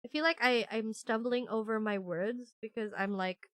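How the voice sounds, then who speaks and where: A congested woman streaming a video game, reacting excitably with frequent gasps and laughs. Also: fairly high pitch, moderate pace, dry recording. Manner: excitable, gasping and laughing often. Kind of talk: casual gameplay commentary.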